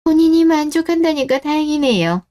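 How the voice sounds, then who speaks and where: A woman's voice: high voice, lively intonation, a little fast, warm tone, voice pushed up. A woman voicing a middle-aged mother-in-law in a scripted story-radio drama, polite, formal and probing about status. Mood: coolly polite.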